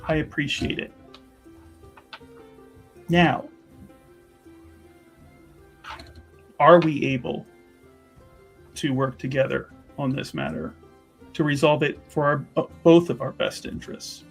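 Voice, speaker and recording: A man playing an earnest, diplomatic chantry investigator in a tabletop fantasy game, speaking formally and haltingly as he picks his words with care. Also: fairly high pitch, expressive, gravelly, slightly rough, soft background music, full character voice. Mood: apologetic, conciliatory. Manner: halting, formal, courteous, choosing words carefully. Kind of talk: improvised in-character tabletop roleplay.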